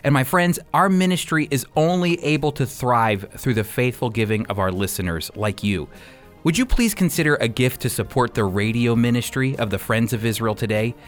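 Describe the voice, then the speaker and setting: Guy hosting radio, talking straight to listeners asking for support, mid pitch, music behind. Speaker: radio host and teacher. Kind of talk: scripted donation appeal to listeners.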